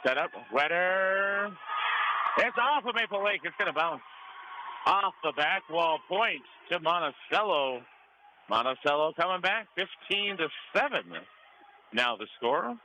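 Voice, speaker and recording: A man's voice: high-pitched, animated, unhurried. American man calling sports, gym noise behind. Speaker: radio play-by-play commentator. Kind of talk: live volleyball play-by-play commentary. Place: indoor school gym during a match. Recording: noisy gym ambience behind commentary.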